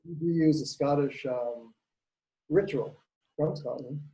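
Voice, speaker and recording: A man's voice: fairly high pitch, measured pace, warm tone, poor audio. A man from Costa Rica speaking up at an online lecture, earnest and groping for words as he asks his question. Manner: earnest, searching for words, drawn out. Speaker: audience member asking a question. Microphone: video call.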